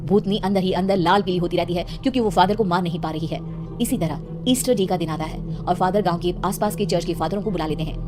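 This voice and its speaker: Brisk, low-pitched woman narrator. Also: over music. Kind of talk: scripted storytelling narration for horror cartoon.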